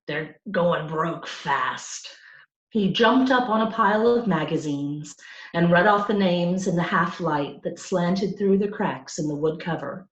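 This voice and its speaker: A woman reading a children's story aloud in an expressive storytelling style. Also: lowish voice, normal speed, echoey room, dull audio. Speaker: storybook reader.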